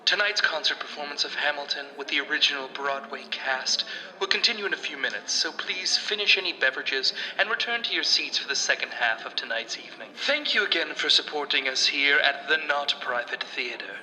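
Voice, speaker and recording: Male actor as courteous theatre announcer; very bright, forceful, highish voice in long reverb. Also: animated, a little fast. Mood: formal. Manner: polite, projected. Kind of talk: formal public announcement in a radio play.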